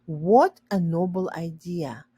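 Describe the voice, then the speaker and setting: A woman's voice: lowish voice, slow, dark tone, husky, no room sound, exaggerated voice. A woman voicing a cheerful, proud, unworried mother in a children's storybook, with exclamatory delivery. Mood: eager.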